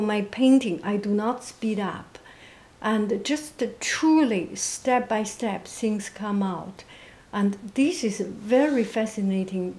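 A woman of medium pitch speaks English as a second language. Her voice is soft, quiet and monotone, with little force behind it. She answers at a very slow pace, gently and haltingly, reflecting as she goes. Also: gravelly. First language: not English. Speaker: interviewee, painter and teacher.

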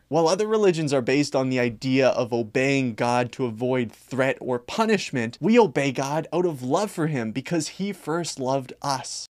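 A man with a somewhat high voice explains scripture with earnest, animated conviction. He is recorded close on a phone indoors. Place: indoor room. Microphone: phone camera up close.

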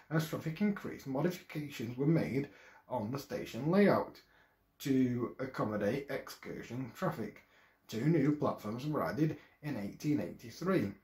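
A man in his thirties from England reads a history script from a screen at home, careful and a little halting, with occasional restarts. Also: mid-range voice, darkish tone. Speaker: video presenter. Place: small room at home, indoors. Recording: echoey room.

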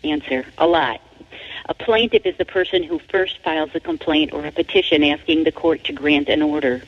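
A woman author reading their own book aloud as scripted narration, in a deliberate, emphatic manner. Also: deep voice. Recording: dull, lo-fi audio.

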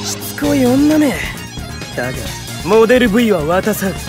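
A cocky villain drawls rough taunts with open menace. It is game audio drama, with clear music under the voice. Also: male voice, very high voice, big pitch swings, measured pace, full character voice. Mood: taunting, menacing. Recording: music behind the voice.

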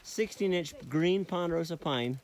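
A man's voice: on the high side, moderate pace, a crowd in the background. A man casually narrating an outdoor camping vlog on a handheld camera, often exclaiming in amazement. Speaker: narrator of a family camping trip. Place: outdoors on a forested mountain.

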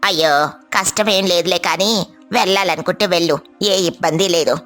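A woman's voice: on the low side, a little fast, a bit bright, soft background music, pitched below the performer's own voice, lightly acted. A woman voicing a kindly, easygoing crow neighbour in a cartoon story, reassuring and matter-of-fact.

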